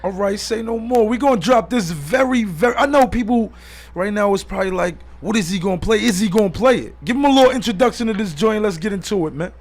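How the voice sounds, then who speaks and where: High-pitched hype radio host, male. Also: quick pace, no room sound. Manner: slangy, hyped-up, addresses listeners and guest as "man". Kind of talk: hype radio show chat. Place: radio studio.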